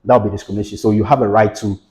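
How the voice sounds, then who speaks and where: A man's voice: fairly low pitch, fairly even intonation, racing, a little mellow. A man giving a patient, explanatory lesson on legal rights, repeating his points with everyday examples. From Ghana. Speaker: legal educator. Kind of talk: explanatory lecture to camera.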